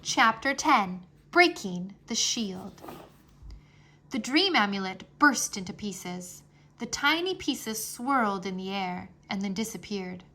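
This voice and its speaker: A woman reading a children's fantasy chapter book aloud as an expressive storyteller. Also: mid-range voice, takes it slowly. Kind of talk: expressive scripted narration.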